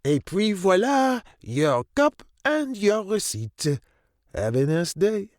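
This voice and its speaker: A man's voice: high-pitched, big pitch swings, takes it slowly, no room sound, studio quality, exaggerated voice. A man playing a snooty restaurant waiter in an audio drama, stiff, over-polite and fussy. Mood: formal politeness.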